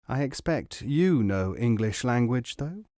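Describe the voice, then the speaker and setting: A man's voice: medium pitch, expressive, a little slow, warm tone, no echo, clean studio sound, natural voice. A man doing a courtly old Persian bookseller. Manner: gracious, formal and polite.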